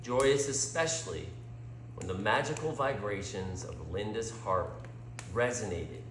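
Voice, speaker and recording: Male storyteller, unhurried pacing, lively swooping intonation, dramatic pauses, roomy echo. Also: on the low side. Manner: slow, deliberate, dramatic pauses. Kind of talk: expressive scripted story narration. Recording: roomy echo with clear background hum.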